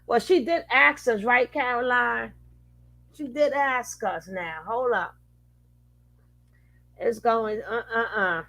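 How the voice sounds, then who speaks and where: A woman comments casually on a live stream, skeptical and wry, laughing as she picks things apart. Her voice is bright and a bit high, with a gravelly fry underneath. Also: slow pace, long pauses, poor audio.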